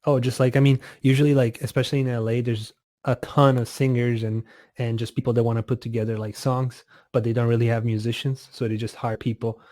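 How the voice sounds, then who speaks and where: A man in his twenties from Italy, a music producer chatting casually as a guest, relaxed and often laughing, with many fillers. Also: medium pitch, a little fast, no room sound, studio quality. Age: 20s. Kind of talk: casual interview over a call.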